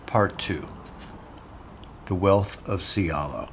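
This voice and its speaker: Male classics lecturer, audio fiction. Deep-leaning, unhurried, measured, with faint background noise. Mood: solemn, measured. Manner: casual and halting when talking, solemn when reading. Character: hesitant male classics lecturer reading an ancient epic aloud. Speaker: university lecturer.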